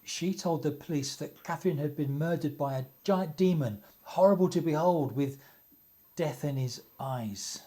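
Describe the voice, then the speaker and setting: A man's voice: on the high side, moderate pace, dark tone, lightly acted. A man playing an earnest, troubled young family friend in a radio play, grave and hesitant. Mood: troubled, reluctant.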